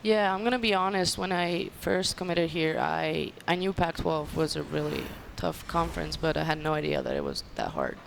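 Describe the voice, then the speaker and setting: Young woman, gravelly. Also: low-pitched, moderate pace, hardly any pauses, slight background noise. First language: Albanian. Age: young adult. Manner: relaxed, plainspoken, hesitant fillers. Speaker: college athlete guest. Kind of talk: casual interview answers. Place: restaurant. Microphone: headset.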